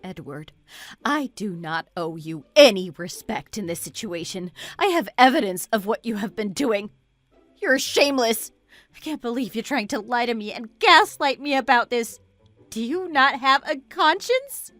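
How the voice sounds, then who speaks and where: A woman's voice: high-pitched, lively intonation, moderate pace, fairly bright tone, no echo, soft background music. A woman acting a scornful, betrayed wife who accuses her husband with angry sarcasm in a dramatized audio story. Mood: cold contempt.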